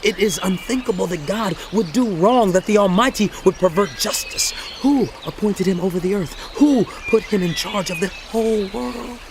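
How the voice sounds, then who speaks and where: An actor playing an earnest, righteous biblical orator in a dramatized audio Bible, speaking emphatically with deliberate, rhetorical pauses. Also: male voice, high voice, noisy background. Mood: preaching, insistent. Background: music.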